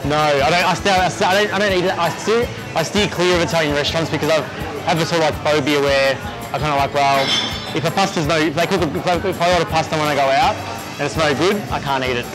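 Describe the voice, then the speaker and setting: Easygoing Australian man, fairly high voice. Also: steady tone, music in the background, rough recording. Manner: easygoing, halting with many restarts and fillers. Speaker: executive chef, interview guest. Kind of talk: casual interview chat. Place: restaurant.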